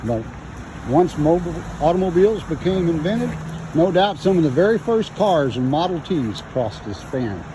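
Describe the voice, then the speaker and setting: A man's voice: a little high, dark tone, slight background noise, low-quality recording. A man telling local stories to viewers in a folksy, direct way as the host. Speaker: host, home builder presenting local stories. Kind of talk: storytelling to camera. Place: outdoors in rain and inside a Jeep.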